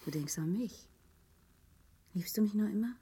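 An actress playing a middle-aged East Berlin wife in a grotesque radio play, by turns mocking and gushingly grateful. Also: female voice, mid-range voice, expressive, moderate pace, warm tone, slightly rough. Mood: tender, grateful.

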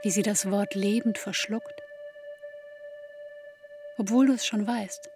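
A woman acting as an intimate, grieving narrator in a literary radio play, speaking in a slow, measured way to a bereaved mother. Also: mid-range voice, fairly even intonation, over music. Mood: quiet grief. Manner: slow, measured, intimate second-person delivery.

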